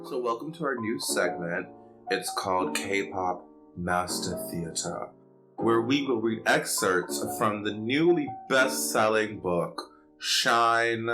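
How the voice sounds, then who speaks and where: Male podcast co-host, deep pitch, casual conversational delivery. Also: poor audio.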